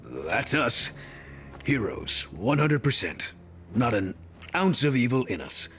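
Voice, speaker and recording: An actor playing a sly, smug villain who poses as a hero in a comic video game. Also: male voice, medium pitch, very animated, slow, fairly bright tone, a little hiss or hum.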